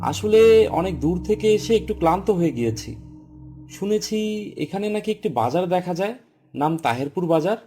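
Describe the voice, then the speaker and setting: A man's voice: sits high, animated, moderate pace, dark tone, soft background music. A man playing a young traveler in a horror cartoon, exclamatory and easily rattled. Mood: weary. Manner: exclamatory, stammers when frightened. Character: weary, skeptical young male traveler who turns terrified.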